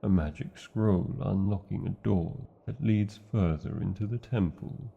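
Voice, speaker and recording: A man's voice: sits low, a little slow, creaky, breathy edge, lo-fi sound, exaggerated voice. A man with a British accent voicing a large, devoted, proud male dragon in a fantasy ASMR romance, speaking tenderly with theatrical, formal wording. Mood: wistful.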